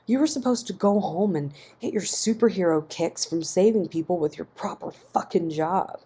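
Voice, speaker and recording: A man's voice: high-pitched, moderate pace, breathy edge. A woman voicing an angry, resentful, foul-mouthed man who snaps and swears in a narrated story. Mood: exasperated. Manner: snapping, profane, forceful.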